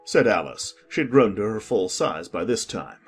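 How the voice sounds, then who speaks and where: A male narrator reads a storybook in a calm, measured voice. His tone is slightly husky and breathy. Soft music plays underneath. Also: lowish voice. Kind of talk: audiobook narration.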